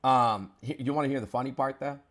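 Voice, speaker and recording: A man in his forties hosts a heated debate with a steady mid-range voice. He lectures in a condescending way, stumbling and restarting as he builds his point. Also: mid-range voice, quick pace. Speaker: host.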